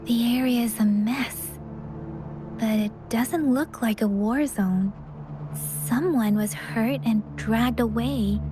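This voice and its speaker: An actress playing a gentle, perceptive young female scout in a video game, speaking earnestly and softly. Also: on the high side, slow, noisy background. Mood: investigating. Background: background music.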